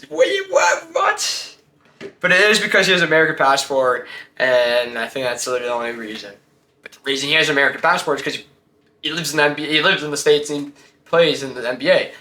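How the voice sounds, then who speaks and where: A man's voice: a little high, bright, forceful tone, echoey room. A man hosting a casual sports talk show, giving blunt, slangy opinions and firing off rhetorical questions.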